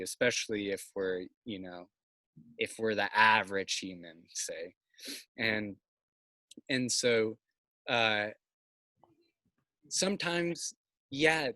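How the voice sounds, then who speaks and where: Bright-voiced man on a video call. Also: mid-range voice, dry recording. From Massachusetts. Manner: thoughtful, halting, many fillers and restarts. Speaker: farmer, interview guest. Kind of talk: casual video call conversation. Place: outdoors by a house's siding. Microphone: video call, laptop or phone mic.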